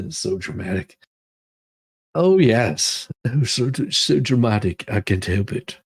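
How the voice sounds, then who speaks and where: A man's voice: fairly low pitch, expressive, takes it slowly, slightly rough. A man hosting dramatic readings, making joking asides. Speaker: livestream host doing dramatic readings.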